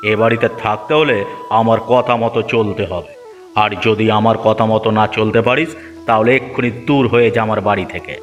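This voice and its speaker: A man's voice: on the low side, fairly even intonation, a little fast, big-room sound, lo-fi sound, natural voice. A man voicing a miserly, bossy old grandfather in a cartoon story, berating and scornful, over music. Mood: angry, scornful. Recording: background music.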